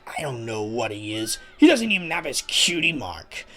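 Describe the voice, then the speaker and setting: A woman's voice: a bass voice, sing-song, moderate pace, a crowd in the background. A woman voicing a mocking young schoolyard bully pony with a scornful drawl.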